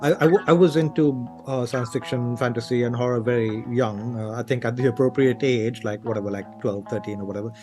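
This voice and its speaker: Guy from sri lanka chatting in an interview, kinda hesitant and thoughtful, mid pitch, bit of music behind. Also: fairly even intonation, brisk, few pauses, warm tone. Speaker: author, interview guest. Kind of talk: casual interview talk.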